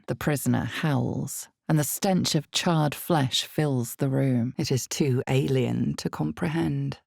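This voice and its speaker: An all-knowing fantasy game narrator with a very low female voice thick with creaky gravel. She tells the story slowly and deliberately, with grave, ominous drama, recorded very dry and close. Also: mellow, no echo, heavily acted. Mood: grave, intense.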